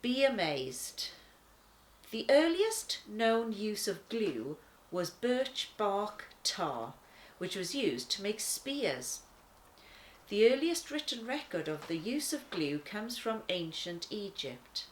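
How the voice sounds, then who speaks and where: Slow, expressive woman reading to children. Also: medium pitch, a little hiss or hum. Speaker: children's church story reader. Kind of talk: read-aloud children's devotional.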